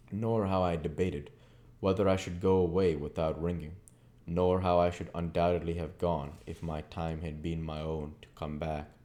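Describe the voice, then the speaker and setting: Deep, mellow man reading a novel. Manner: halting, stumbles over words and corrects himself. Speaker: audiobook reader. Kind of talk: amateur reading of a classic novel.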